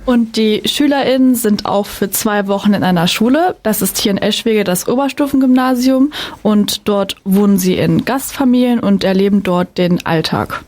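A woman presenting on radio, speaking fluently and explaining things in a clear, orderly way. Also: mid-range voice, moderate pace, a little mellow. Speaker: student presenter.

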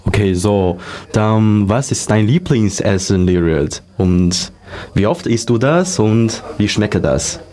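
Man, fairly low pitch, radio host, presenting, interview questions. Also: animated, takes it slowly, dark tone.

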